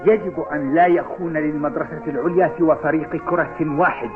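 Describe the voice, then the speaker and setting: A cocky schoolboy football rival played by a man, high-voiced and mocking, in a cartoon dub. The sound is tinny and phone-like, and he pushes every line as a provocation. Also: steady tone, fairly quick, over music, lightly acted. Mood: challenging. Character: taunting, cocky male school football team challenger. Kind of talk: Arabic cartoon dubbing.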